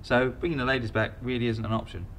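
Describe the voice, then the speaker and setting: A man's voice: medium pitch, flat delivery, fast talker, a bit bright, a little hiss or hum, close to an everyday voice. A man playing a chirpy, teasing British best mate, mock-encouraging, in a comedy audio drama. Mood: sarcastic.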